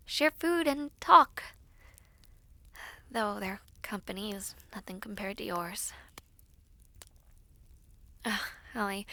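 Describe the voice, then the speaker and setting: A woman performs a brave young female knight in an audio roleplay, reading her letters aloud. Her voice sits at an average pitch and is tender and wistful, with a softly playful streak and some breathiness. The sound is dry and close, free of room reflections. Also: creaky, dry recording. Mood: longing.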